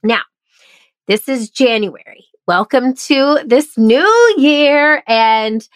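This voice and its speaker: A woman's voice: high voice, lively intonation, slow, smooth, no echo. Nasal, congested woman podcast host. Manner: upbeat, enthusiastic. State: congested from recent flu. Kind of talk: podcast interview.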